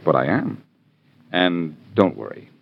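An actor playing a cocky, teasing adventurer hero in a 1940s radio crime drama. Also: male voice, sits low, huge pitch swings, unhurried, gravelly, slightly rough, exaggerated voice. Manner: wry, teasing, unruffled. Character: cocky, smooth-talking adventurer hero, playfully defiant. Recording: muffled, hissy 1940s radio recording.

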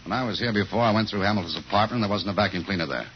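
An actor playing a tough, blunt police detective in a 1950s radio crime drama, with clipped, terse delivery. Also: male voice, fairly low pitch, racing, slight background noise, close to an everyday voice. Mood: hard, pressing. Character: tough, blunt male police detective narrating his case. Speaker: actor in the lead role. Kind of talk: 1950s radio crime drama acting, hard-boiled narration. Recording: muffled vintage radio recording, loud music.

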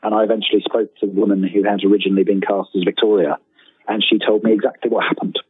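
Male interview guest, lowish husky voice, eager and halting storyteller, heard over a phone-like connection. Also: flat delivery. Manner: hesitant, repeats phrase starts, enthusiastic. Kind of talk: casual interview, anecdotes. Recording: thin phone-like line with room echo.

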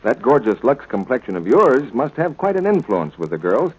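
A man's voice: medium pitch, very animated, moderate pace, slightly rough, rough recording. A man announcing in polished, scripted 1940s radio advertisement style.

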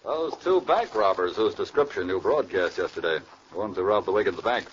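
An actor playing a resolute, methodical FBI agent in an old radio crime drama. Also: male voice, fairly low pitch, animated, brisk, lightly acted. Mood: earnest. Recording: muffled, noisy old radio recording.

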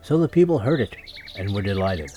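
Animated, mellow male storyteller. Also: lowish voice, a little fast. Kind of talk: scripted children's story narration. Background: music and birdsong sound effects.

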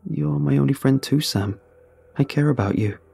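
A man voicing a calm, cryptic young scout. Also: medium pitch, gravelly, breathy edge, faint music behind, pitched above the performer's own voice. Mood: sincere. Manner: calm, earnest, unhurried.

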